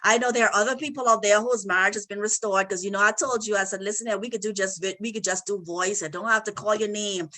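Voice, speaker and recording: A woman's voice: medium pitch, steady tone, quick pace, few pauses, pushed and bright. A woman marriage coach in a faith-based conversation, emphatic and encouraging, repeating her phrases.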